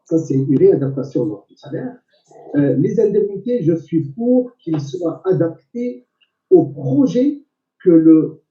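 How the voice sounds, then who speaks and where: Echoey, halting male debater. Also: a little high. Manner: halting, deliberate, repeats himself. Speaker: election candidate. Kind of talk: political debate, spontaneous answer. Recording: echoey, distant, dull lo-fi audio.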